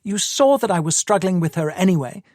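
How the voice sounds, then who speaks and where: A man reading an audiobook, voicing a distraught young woman with shrill, tearful pleading. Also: animated, brisk, a little mellow, no echo, clean studio sound. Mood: accusing. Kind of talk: audiobook dialogue.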